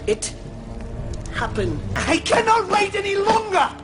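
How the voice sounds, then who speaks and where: An actor playing an impatient, raging villain in a fantasy film, with theatrical delivery. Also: male voice, extremely high pitch, sing-song, measured pace, loud music behind the voice, heavily acted. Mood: impatient rage.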